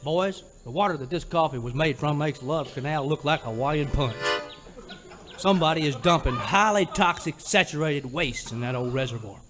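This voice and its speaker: A man playing an excitable, gee-whiz cowboy sidekick in a comic old-time radio western, folksy and exclamatory. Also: fairly high pitch, moderate pace, music in the background, natural voice. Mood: earnest, alarmed.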